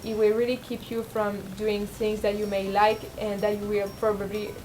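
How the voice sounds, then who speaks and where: A young woman with a low speaking voice, rambling and self-deprecating in a casual confessional vlog, full of fillers and restarts. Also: level tone, moderate pace, music in the background, low-quality recording. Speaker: vlogger.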